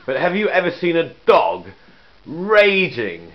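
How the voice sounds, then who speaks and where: A man's voice: a little high, huge pitch swings, slow pace. Rambling man with a joking manner. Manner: rambling, joking, trails off into asides. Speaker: muralist giving a tour. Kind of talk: casual narrated walkthrough. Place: indoor studio room with large wall mural. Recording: dull lo-fi camcorder audio.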